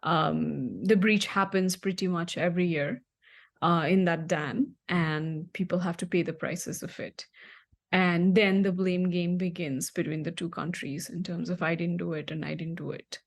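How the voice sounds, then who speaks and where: Low-voiced Nepali woman, hesitant. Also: steady tone, unhurried, vocal fry. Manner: thoughtful, many fillers and restarts. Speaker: guest. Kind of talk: podcast interview answer.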